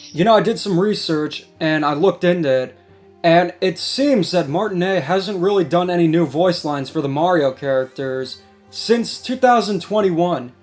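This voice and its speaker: A man in a casual discussion, explaining things in a measured way as a co-host. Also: a little high. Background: background music.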